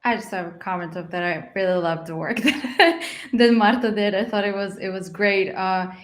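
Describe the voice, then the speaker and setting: A woman's voice: medium pitch, normal speed. A woman instructor giving casual, encouraging feedback on a video call, often repeating her phrases.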